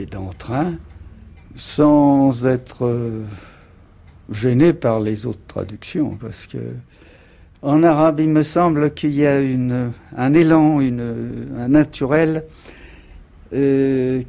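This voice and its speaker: An older man heard in an archival radio interview speaks slowly and musingly, stopping often to search for his words. His voice is dark and mellow, with an unhurried pace. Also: medium pitch, vocal fry. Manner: slow, musing, hesitant.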